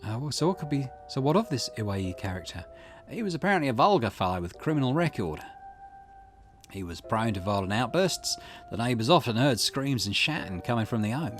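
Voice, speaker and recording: A man reading video game dialogue aloud in an expressive way, with the occasional small stumble. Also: mid-range voice, fairly quick, soft background music. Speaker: gaming channel host reading a visual novel aloud.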